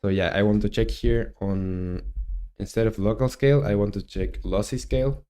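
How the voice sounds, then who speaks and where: A man in his twenties with a Chilean Spanish accent, talking through his coding on a live stream, halting and thinking aloud with many fillers. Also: on the low side, fairly even intonation, mellow, clear, resonant tone. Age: 20s. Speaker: game developer streamer.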